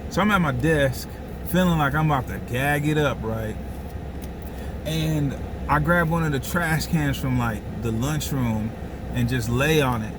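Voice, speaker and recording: A man's voice: mid-range voice, a little slow, noisy background. A man telling a comic personal story casually, with slangy asides and phrases repeated for dramatic effect. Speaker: storyteller and host.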